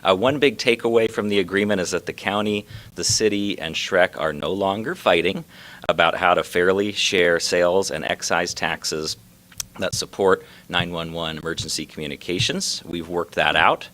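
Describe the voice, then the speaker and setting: A man giving a measured, formal prepared speech as a local official at a public announcement. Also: low-pitched, steady tone, a bit bright.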